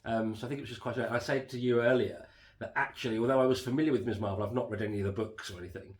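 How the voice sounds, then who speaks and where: A man co-hosting a casual home podcast, rambling with lots of fillers and restarts, his voice going hoarse. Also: medium pitch, fairly even intonation, rapid-fire, a little mellow. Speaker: podcast co-host reviewing a TV series. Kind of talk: casual chat.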